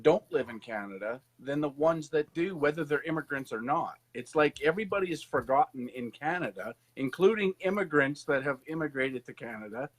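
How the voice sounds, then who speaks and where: A man's voice: fairly high pitch, expressive, brisk, few pauses. A man interviewing a guest in a conversational interview, emphatic and opinionated.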